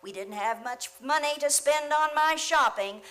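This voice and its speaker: A woman's voice: high-pitched, normal speed, fairly bright tone, faint music behind. A woman playing a chatty, rueful landlady in an old-time radio comedy. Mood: wistful, chatty. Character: chatty landlady, fretting over lean holiday dinners. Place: theater stage, live radio play.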